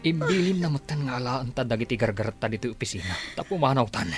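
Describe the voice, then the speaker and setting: A man acting a shifty, excuse-making office worker with a husky voice in an Ilocano radio drama. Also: mid-range voice, quick pace, faint music behind, lightly acted. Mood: regretful, distressed.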